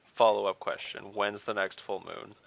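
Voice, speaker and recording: A man takes part in a tabletop role-playing game as a player. His voice is lowish and bright, with a clear creak in it. He speaks casually and directly, often questioning. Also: steady tone, moderate pace, highs cut off.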